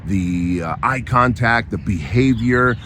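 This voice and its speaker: A hoarse man hosting a podcast, delivering an emphatic motivational monologue and often checking in with "right?". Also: on the low side, takes it slowly, fairly bright tone, a little hiss or hum. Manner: emphatic, repeats phrases, frequent tag "right?". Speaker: podcast host.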